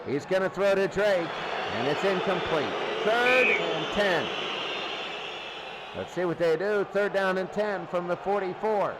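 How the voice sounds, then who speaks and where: A high-voiced man does live play-by-play for a football game with an excitable delivery. He follows each down over a clearly noisy recording. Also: unhurried. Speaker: play-by-play announcer. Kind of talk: live American football commentary.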